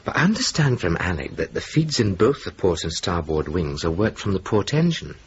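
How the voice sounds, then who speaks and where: An actor playing a young, plain-spoken, fretful RAF officer with a Scottish accent in a radio play, talking in an earnest, terse way. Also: male voice, deep voice, sing-song, brisk. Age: young adult. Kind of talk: naturalistic 1980s radio drama acting. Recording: muffled, hissy old radio broadcast tape.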